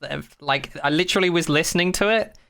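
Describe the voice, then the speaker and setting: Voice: medium pitch, quick pace, vocal fry, a bit bright. A podcast co-host chatting casually about a sci-fi show in a wry, jokey way.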